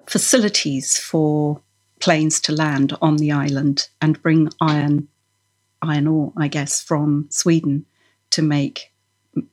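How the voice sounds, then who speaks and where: Slow, low-voiced woman from Norway. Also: a little mellow. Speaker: podcast guest, felt maker. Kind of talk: reflective interview conversation.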